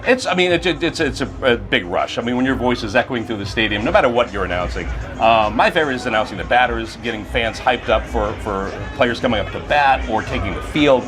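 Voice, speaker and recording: A middle-aged man with a mid-pitched voice answers interview questions casually. He talks as a stadium announcer in a conversational way, with music clearly playing behind him. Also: lively intonation, a little fast, hardly any pauses, a bit bright. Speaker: stadium public address announcer being interviewed.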